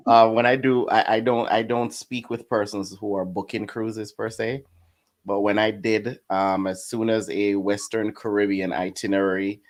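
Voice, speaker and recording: Jamaican-accented man, very low voice, easygoing interview guest. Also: little pitch movement, moderate pace. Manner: easygoing, laughs between answers. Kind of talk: casual interview chat.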